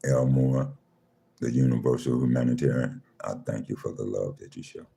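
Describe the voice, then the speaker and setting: Male host, very deep dark voice with heavy fry, calm and unhurried, live-room chat. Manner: slow, calm, repeats himself, reads names off a screen. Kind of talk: casual livestream chat, greeting listeners.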